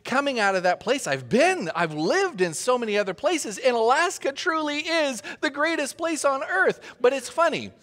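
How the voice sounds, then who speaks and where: A pastor preaches in a loose, joking way, often turning questions back to the congregation. His voice sits high for a man and swings up and down with a lot of life. The sound rings with the long reverb of a large church hall. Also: sing-song, moderate pace, few pauses, a bit bright. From Austria. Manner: chatty and joking, asks the audience questions. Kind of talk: conversational sermon.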